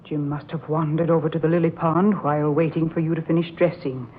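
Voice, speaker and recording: An actress playing a composed, consoling middle-aged aunt, measured and genteel, in an old-time radio drama. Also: female voice, low-pitched, level tone, natural voice. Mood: soothing. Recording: tinny old radio recording, faint hiss.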